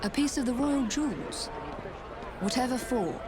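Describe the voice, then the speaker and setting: A woman playing a sensible, brisk young sister in a video game, with a direct manner. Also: a little high, expressive, a crowd in the background, background noise. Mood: skeptical. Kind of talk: video game cutscene acting.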